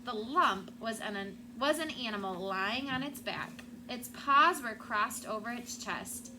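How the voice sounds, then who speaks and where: A woman's voice: a little high, animated, a little slow. A woman teacher reading a children's book aloud and prompting pupils with questions. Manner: prompting, checks understanding with questions. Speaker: elementary school teacher. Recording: clearly noisy room sound.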